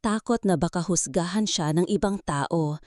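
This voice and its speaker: Woman plays kindly older gentleman in radio drama; soft-spoken, measured, mellow, dry sound. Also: on the low side, no room sound, close to an everyday voice. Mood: earnest, reassuring. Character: gentle, reassuring older husband and grandfather, a wealthy don.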